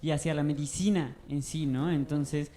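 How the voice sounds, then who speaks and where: Mexican man, audience questioner, soft mellow tone, hesitant. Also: on the high side, fairly even intonation, normal speed, slight background noise. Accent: Mexican Spanish. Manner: thoughtful, hesitant, many fillers. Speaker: audience member asking a question.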